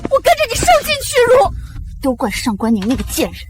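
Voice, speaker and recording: A woman's voice: high voice, wildly swooping pitch, fast talker. An actress playing a haughty, spiteful young noblewoman and rival wife in a period short drama. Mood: furious, bitter.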